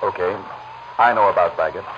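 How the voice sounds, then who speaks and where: A man's voice: sits low, fairly quick, close to an everyday voice. An American actor playing a smug, mocking gangster henchman, taunting and drawling in a 1940s radio crime drama. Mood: cool defiance. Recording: noisy, muffled old radio recording.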